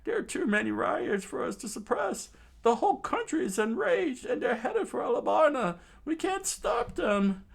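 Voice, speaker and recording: A man voicing a panicked young male palace messenger who talks breathlessly in a strained high voice. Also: big pitch swings, gravelly, slightly rough, full character voice. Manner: breathless, high-pitched and hurried.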